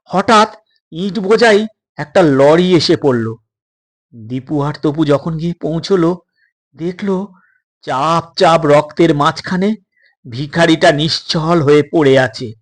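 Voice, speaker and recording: A man narrating a suspense story in a dramatic, deliberate way, breaking sentences into short phrases with pauses. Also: on the high side.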